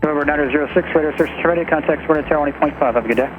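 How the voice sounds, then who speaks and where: A man's voice: on the high side, very fast, narrow, tinny audio, over music. A man working as an air traffic controller, giving clipped, businesslike radio instructions to pilots. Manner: clipped, flat, businesslike phraseology. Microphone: radio transmission.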